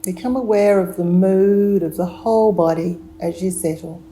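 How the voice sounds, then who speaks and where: A woman leading a guided relaxation meditation, giving gentle, soothing instructions with long unhurried pauses. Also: on the low side, a little hiss or hum, poor audio.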